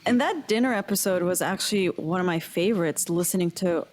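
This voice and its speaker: A woman's voice: on the low side, fairly quick, a little mellow, slight background noise. A woman chatting casually and appreciatively on a cast panel. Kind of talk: casual chat.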